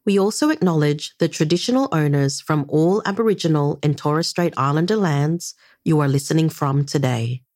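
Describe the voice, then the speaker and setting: Calm woman hosting a podcast. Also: low voice, moderate pace, hardly any pauses, no echo. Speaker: podcast host. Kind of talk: scripted radio presenting.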